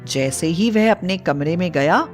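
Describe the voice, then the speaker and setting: A woman's voice: lowish voice, lively intonation, a little slow. A woman narrating a scripted bedtime story as a storyteller. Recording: faint music bed. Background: soft background music.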